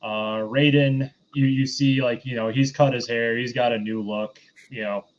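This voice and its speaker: A man with an average-pitched voice talks as a podcast host recapping a movie. His delivery is casual and halting, wandering along conversationally. The recording is a little dull and lo-fi. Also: fairly even intonation. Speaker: podcast host reviewing a film.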